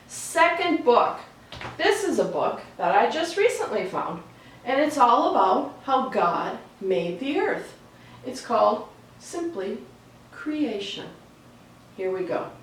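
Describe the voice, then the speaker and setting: A woman reading aloud for a children's storytime in a slow, deliberate, expressive way. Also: a little high. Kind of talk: read-aloud storytime with chatty asides. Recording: echoey room, distant mic, faint noise.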